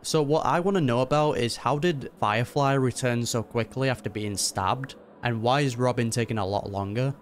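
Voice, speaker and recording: A man's voice: medium pitch, moderate pace, hardly any pauses, slight background noise. A man hosting a casual game reaction video, with slangy, wry commentary.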